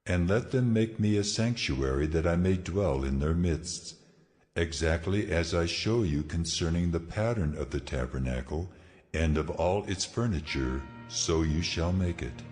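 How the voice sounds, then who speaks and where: A man's voice: deep voice, darkish tone. An actor voicing God as a solemn, majestic, commanding male divine presence in a dramatized scripture reading. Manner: solemn, deliberate, authoritative. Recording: long hall reverb, music behind.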